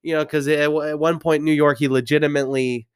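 A man's voice: on the high side, little pitch movement, quick pace, clear, resonant tone, no echo, clean studio sound. Stuffy-nosed man ranting about football. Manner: opinionated, rambling, frequent restarts. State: stuffy from a cold. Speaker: sports commentator. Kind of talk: ranting sports recap.

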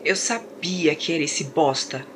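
A woman playing a defiant, resolute young captive in a Brazilian audio horror drama, giving urgent, often hushed orders. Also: low voice, measured pace, background noise. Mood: angry defiance.

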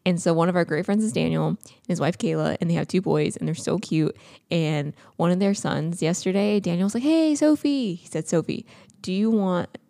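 Podcast co-host, young woman, low gravelly voice, mellow tone, chatty and earnest. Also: normal speed, no room sound, studio quality. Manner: chatty, earnest, animated storytelling. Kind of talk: casual podcast chat.

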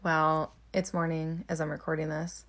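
Woman, low creaky voice, slight rasp, casual podcast host, candid and informal. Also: level tone, moderate pace, a bit bright. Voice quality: slightly raspy. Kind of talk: casual, chatty podcast talk.

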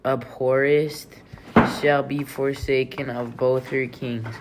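A man's voice: mid-range voice, little pitch movement, slow pace. A man reading scripture aloud as one member of a family group. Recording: faint background noise.

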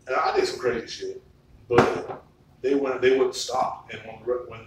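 Thirties male host, loose profane chatter, off-mic in a boomy room. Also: fairly even intonation, a little slow. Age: 30s. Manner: loose, profane storytelling with frequent "like". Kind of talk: casual chat. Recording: echoey room, far from the mic, faint noise.

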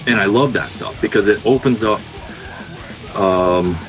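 A man's voice: lowish voice, measured pace, low-quality recording. A man who plays in a rock band, chatting casually about music as a podcast guest.

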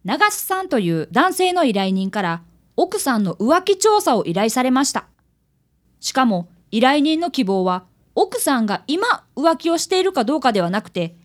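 A woman's voice: sits high, sing-song, moderate pace. A woman playing an earnest fifth-grade boy, an apprentice detective in a radio drama, who speaks and narrates politely. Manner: polite, explanatory.

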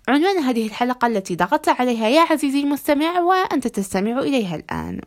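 A woman hosting a podcast, addressing listeners in formal Arabic with a measured, rhetorical delivery and a slightly hoarse voice. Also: high-pitched. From Algeria. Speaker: podcast host.

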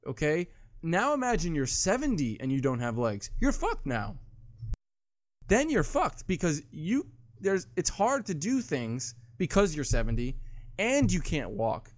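A man's voice: fairly high pitch, huge pitch swings, measured pace, no echo. A man in his twenties arguing bluntly and profanely in casual banter with friends. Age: 20s.

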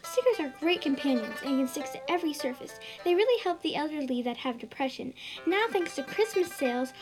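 A woman's voice: sits high, expressive, brisk, over music, pitched above the performer's own voice, poor audio. A woman playing an earnest radio advertisement announcer in a parody commercial read. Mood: salesy.

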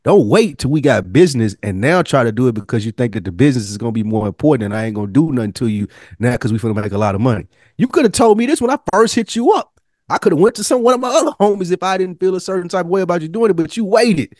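A man's voice: a little high, animated, rapid-fire, a little mellow, no room sound, clean studio sound. An American man co-hosting a casual TV recap podcast, emphatic and slangy, swearing freely. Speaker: podcast co-host.

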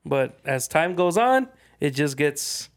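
Higher-voiced man chatting about games. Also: big pitch swings, takes it slowly, smooth. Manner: repeats phrases for emphasis. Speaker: podcast co-host. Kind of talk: casual chat about video games.

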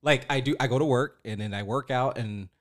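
A man's voice: mid-range voice, brisk. A man in his thirties hosting a podcast in a playful, informal, exclamatory way, chatting casually. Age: 30s. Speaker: podcast host. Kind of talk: casual chat.

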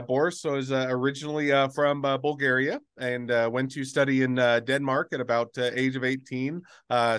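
A man hosts a podcast with a smooth, resonant voice, recorded dry and close with no room sound. He talks in a halting way, full of fillers as he introduces his guest. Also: mid-range voice, fairly bright tone, no room sound. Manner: hesitant, many fillers. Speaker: podcast host. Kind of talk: podcast interview.

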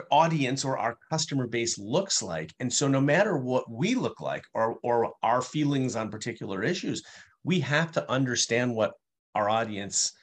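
A man's voice: mid-range voice, breathy edge. Man explaining in an easy, fluent way. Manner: fluent, explanatory, with playful asides. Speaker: guest, customer experience speaker. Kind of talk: conversational interview answers.

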